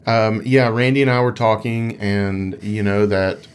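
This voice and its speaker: A man's voice: on the low side, fairly even intonation, unhurried, clear, resonant tone. A man co-hosting a gaming podcast, chatting casually in a playful, rambling, explanatory way. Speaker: podcast co-host.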